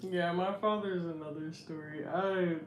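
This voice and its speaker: A young man with a baritone voice, chatting slowly and reflectively on his livestream. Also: fairly even intonation, slight background noise. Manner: slow, reflective and soft-spoken. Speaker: livestream host. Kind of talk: casual livestream chat reading comments. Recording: roomy echo.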